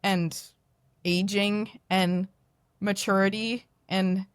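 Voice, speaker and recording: A young woman who is a podcast guest, talking in a loud, animated, self-deprecating way. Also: medium pitch, takes it slowly, smooth, no echo, studio quality. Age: young adult. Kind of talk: candid podcast conversation.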